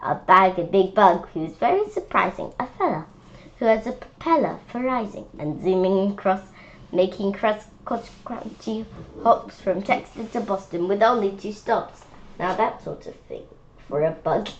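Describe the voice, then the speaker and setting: A woman's voice: mid-range voice, lively intonation, moderate pace, highs cut off, a little hiss or hum. A woman reading a rhyming picture book aloud informally, often stumbling over words and repeating phrases. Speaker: children's book read-aloud reader.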